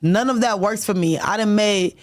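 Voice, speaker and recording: A woman's voice: mid-range voice, moderate pace. A young adult woman rapper talking candidly in a casual interview, using laid-back street slang. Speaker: rapper, guest.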